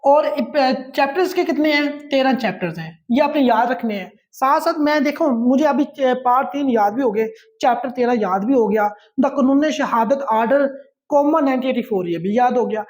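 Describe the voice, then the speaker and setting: Male Pakistani law lecturer, notably high-pitched, emphatic and repetitive exam-coaching delivery. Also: normal speed. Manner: repetitive, emphatic, drilling key points. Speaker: law teacher.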